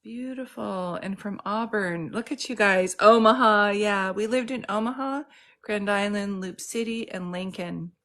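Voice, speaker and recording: Brief: middle-aged woman, mid pitch, casual livestream host, chatty, greets viewers by name, phone mic. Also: unhurried, fairly bright tone. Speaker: psychic medium host. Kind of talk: casual live-stream chat. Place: home in Santa Cruz.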